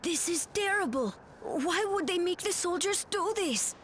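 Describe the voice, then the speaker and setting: Very high-voiced, earnest girl. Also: animated, a little slow, fairly bright tone, a little hiss or hum, heavily acted. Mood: dismayed. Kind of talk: video game cutscene voice acting.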